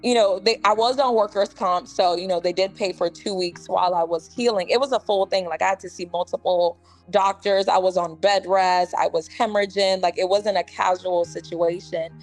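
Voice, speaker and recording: Young woman from ghana talking casually in an interview, says like a lot, kinda low pitched, soft music behind. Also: steady tone, moderate pace, rough recording. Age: 20s. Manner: chatty, many "like" and "you know" fillers. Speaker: nurse, guest.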